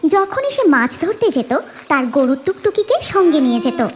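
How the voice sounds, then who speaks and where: A woman's voice: high-pitched, very animated, normal speed, slight background noise. A woman narrating a scripted cartoon story in a dramatic storytelling manner.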